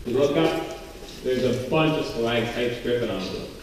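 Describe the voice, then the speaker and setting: A man's voice: medium pitch, moderate pace. A man guiding a tour group through a cave, giving instructions and commentary, recorded on a visitor's camera from a distance. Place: inside a show cave. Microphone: distant handheld camera. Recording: very echoey cave, far camera, noisy.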